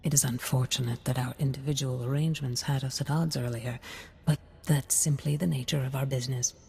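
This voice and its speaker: Very husky, courteous sinister envoy. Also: male voice, medium pitch, steady tone, darkish tone. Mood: smooth diplomacy. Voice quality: very husky, creaky. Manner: formal, measured, diplomatic. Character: courteous, sinister servant messenger of a noble house. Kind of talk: video game voice acting. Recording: long hall reverb.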